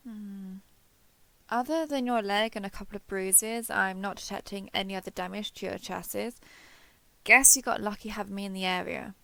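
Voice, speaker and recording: A woman plays a giant alien robot soldier from an audio drama, caring and slightly awkward. The voice is bright and forward with some creak, recorded close and dry. The delivery is gentle and reassuring. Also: mid-range voice, no echo. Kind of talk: scripted roleplay audio drama addressed to listener.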